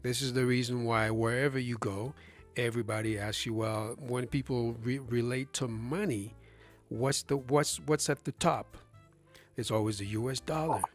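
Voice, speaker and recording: A man's voice: medium pitch, steady tone, moderate pace, soft background music. A man hosting a live stream in a casual discussion, talking emphatically, putting rhetorical questions to his listener and often restarting his phrases. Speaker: livestream host.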